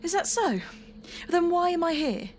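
A woman's voice: extremely high pitch, sing-song, a little slow, darkish tone, a little hiss or hum, exaggerated voice. A woman playing a defiant, feisty captive in an audio drama, sarcastic and slangy.

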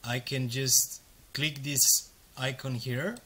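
Bright-voiced Romanian man demoing software. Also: mid-range voice, fairly even intonation, slow, husky. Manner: casual, explains step by step with fillers. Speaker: software demo presenter. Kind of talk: screen-recorded tutorial walkthrough.

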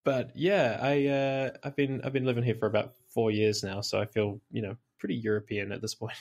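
A man's voice: mid-range voice, little pitch movement, a little fast, a little mellow. Chatty New Zealand man, laughing often. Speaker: podcast guest. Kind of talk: casual interview chat.

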